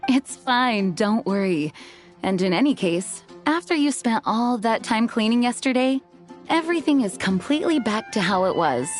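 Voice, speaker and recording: A woman's voice: fairly high pitch, lively intonation, faint music behind, lightly acted. Composed, patient knight mentor played by a woman. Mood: reassuring. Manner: measured, encouraging, instructive. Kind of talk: video game voice acting.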